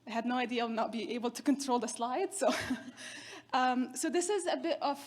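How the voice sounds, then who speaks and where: Chatty keynote woman, higher voice. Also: animated, brisk. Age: 30s. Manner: chatty, storytelling with self-deprecating humor. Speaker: keynote speaker. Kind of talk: conference keynote talk.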